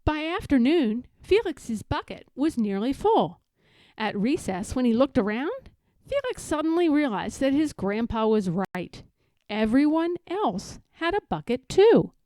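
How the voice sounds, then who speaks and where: A woman reading a children's picture book aloud in an expressive, deliberate storytelling style. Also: sits high, no room sound.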